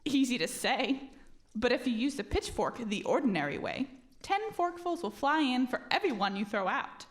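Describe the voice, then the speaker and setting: A woman's voice: a little high, big pitch swings, normal speed. A woman playing a clever young maiden in an audio folktale, with an earnest, knowing manner. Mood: knowing, instructive. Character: lovely, clever young maiden giving warnings. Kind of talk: audio drama folktale acting.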